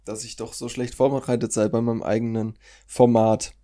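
Young guy hosting a podcast, jokey, warm low tone. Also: little pitch movement, a little fast. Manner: joking, quizzing guests with translated lyrics. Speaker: podcast host. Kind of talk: casual podcast chat.

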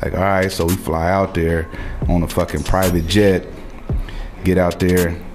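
A man from Portland with a deep voice, talking in a laid-back, slangy way as a producer on a podcast and laughing often. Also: little pitch movement, a little slow, a little mellow, soft background music. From Portland, US West Coast. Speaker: music producer guest. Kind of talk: casual podcast interview.

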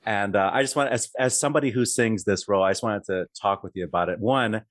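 A man's voice: on the low side, quick pace, no echo, clean studio sound. A man hosting an opera interview show, asking long, rambling questions full of fillers. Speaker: podcast host. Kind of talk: conversational interview.

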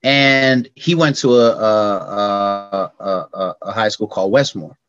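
A middle-aged man from California telling stories in a casual interview, slangy and animated, acting out quotes in a raised voice. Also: on the low side, measured pace, lo-fi sound. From California, United States. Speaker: podcast guest.

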